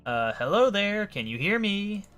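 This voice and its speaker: A man's voice: high voice, big pitch swings, a little slow, a bit bright, faint music behind, voice pushed up, exaggerated voice. A man voicing an earnest, puzzled adventurer in a fantasy game, politely asking questions. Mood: friendly. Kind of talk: reading video game dialogue aloud.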